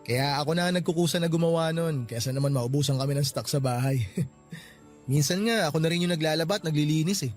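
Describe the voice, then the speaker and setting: A man plays an easygoing, helpful young office worker in a radio drama. He speaks in a somewhat high male voice, chatty and teasing, with light music behind. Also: fast, close to an everyday voice. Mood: friendly, teasing.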